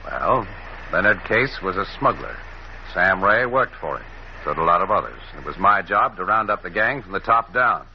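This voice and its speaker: An actor playing a confident, teasing government agent and romantic lead in a muffled 1940s radio drama. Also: male voice, deep voice, big pitch swings, normal speed. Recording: muffled, faintly noisy old radio recording.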